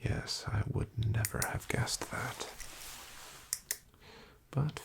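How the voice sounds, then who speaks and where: A man whispering an ASMR roleplay as a house-call doctor, hushed and wryly deadpan with soft fillers. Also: slow pace.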